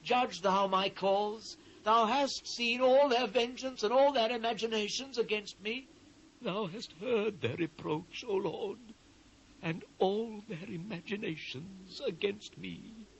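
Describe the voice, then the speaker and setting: A man's voice: high voice, slow pace, creaky, fairly bright tone, heavily acted, rough recording. A British man playing an anguished biblical prophet in a dramatised scripture reading, solemn, declamatory and impassioned. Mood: anguished, pleading. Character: anguished male biblical prophet lamenting his ruined people. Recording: faint noise, old cassette transfer.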